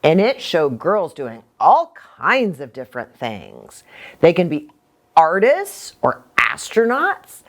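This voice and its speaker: A woman's voice: sits low, huge pitch swings, takes it slowly. An American woman preacher explaining things simply to children as a story-time host.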